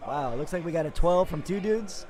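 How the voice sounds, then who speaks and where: A man with a raspy voice calling live sports play-by-play, hoarse after days of talking, upbeat and quick with frequent fillers. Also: a little high, expressive. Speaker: play-by-play commentator.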